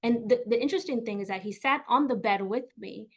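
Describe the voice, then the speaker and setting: A woman's voice: fairly high pitch, fairly quick. Encouraging woman presenting a webinar. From South Texas, USA. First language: Spanish. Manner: warm, personal, encouraging, with frequent fillers and repeats.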